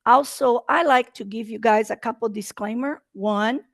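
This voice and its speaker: A woman's voice: fairly high pitch, normal speed, gravelly, no room sound. Woman, Brazilian Portuguese accent, earnest webinar presenter, dead-dry video-call sound. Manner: earnest, talks in non-native English with slight slips. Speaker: state procurement presenter.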